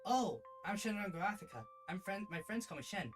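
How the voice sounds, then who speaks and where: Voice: medium pitch, expressive, brisk, voice pushed up, natural voice. A streamer voicing an eager, inquisitive, friendly character from a visual novel, with music behind. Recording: music in the background.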